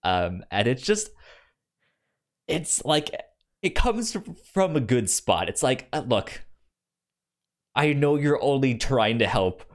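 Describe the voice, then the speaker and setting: A man's voice: mid-range voice, big pitch swings, slow, long pauses. A man co-hosting a casual book discussion podcast, speaking hesitantly and often stammering over his words. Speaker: podcast co-host.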